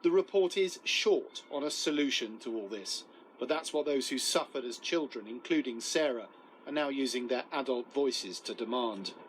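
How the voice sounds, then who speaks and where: A man's voice: medium pitch, lively intonation, moderate pace, slight background noise, low-quality recording. A man narrating a scripted television news report as a correspondent.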